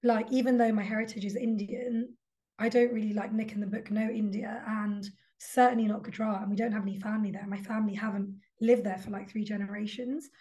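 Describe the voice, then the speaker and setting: A woman's voice: medium pitch, level tone, brisk, mellow. A young adult woman, a guest author talking reflectively in a casual interview, with frequent ums and likes. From London.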